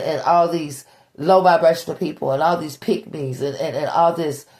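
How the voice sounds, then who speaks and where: Blunt, gravelly-voiced woman tarot reader. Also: deep voice, a little mellow. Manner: blunt, profane, preachy, repeats herself. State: stuffy nose. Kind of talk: tarot reading monologue.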